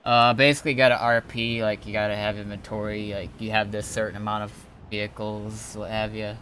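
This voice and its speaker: Tired gamer guy kinda low voice, rambly halting casual stream talk, bit of noise n typing behind. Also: level tone, bright, forceful tone. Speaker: streamer playing online roleplay game. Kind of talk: casual chat during gameplay.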